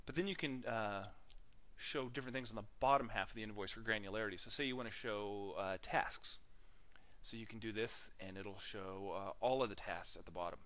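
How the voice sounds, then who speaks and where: A man narrating a software tutorial in a casual, informal way, halting often with ums and uhs as he demonstrates. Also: on the low side.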